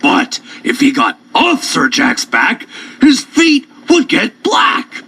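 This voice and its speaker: A man narrating children's stories in a playful, theatrical, drawn-out way. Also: sits high, wildly swooping pitch, sharp tone, husky, no echo. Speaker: storyteller. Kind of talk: animated children's story narration. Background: music.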